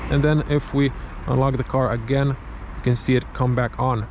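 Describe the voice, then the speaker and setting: A man's voice: medium pitch, fairly even intonation, normal speed. A man with a Croatian accent, a native Croatian speaker, gives casual car review commentary in English as a second language, sometimes searching for words. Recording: clear vehicle and ambient noise. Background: car and ambient noise.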